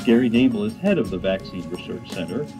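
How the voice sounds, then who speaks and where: Male reporter with a lively delivery. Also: lowish voice, fast, over music. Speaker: news reporter. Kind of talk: news report.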